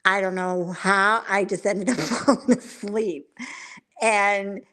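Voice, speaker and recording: A woman chatting casually as a guest on a live stream panel, giggly and quick to laugh. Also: medium pitch, fairly even intonation, a bit bright. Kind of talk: casual chat.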